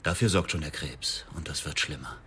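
A man's voice: a bass voice, moderate pace, a bit bright, slightly rough, exaggerated voice. A man acting in a radio drama as a bitter, self-righteous widower turned killer, cold, defiant and matter-of-fact. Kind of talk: radio drama acting and first-person narration.

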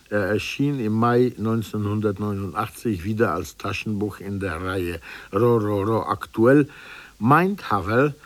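A man reading a formal scripted lecture in a measured, earnest manner with emphatic phrasing. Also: lowish voice, no echo.

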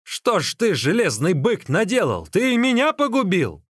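A man's voice: high-pitched, sing-song, normal speed, dry recording, clean studio sound, pitched above the performer's own voice. A man voicing a sly storybook sorcerer who scolds and teases with mock earnestness, feigning alarm. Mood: mock outrage. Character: sly teasing male sorcerer, feigning alarm to trick his companion.

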